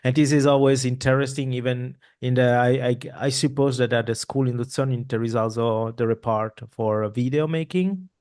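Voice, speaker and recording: A man hosts a casual podcast interview from a home room, speaking into a boom mic over a video call. He rambles loosely, backing up and restarting his sentences as he goes. His voice sits at an ordinary middle pitch for a man. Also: moderate pace, hardly any pauses, smooth. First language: Italian. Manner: rambling, many restarts and fillers, animated hand gestures. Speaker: podcast host. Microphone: boom mic with headphones, video call.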